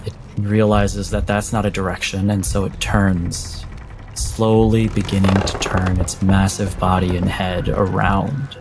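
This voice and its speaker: A low male voice, very unhurried, with music playing clearly behind it. He is a game master narrating horror in a deliberate, suspenseful way, breaking sentences into short dramatic pieces. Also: little pitch movement. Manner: deliberate, suspenseful, with broken phrasing.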